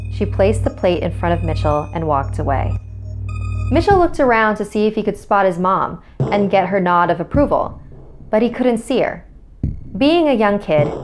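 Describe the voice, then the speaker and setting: Woman, horror story narrator, low voice, scripted delivery, faint music underneath. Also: darkish tone. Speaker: host and narrator of a scary story series.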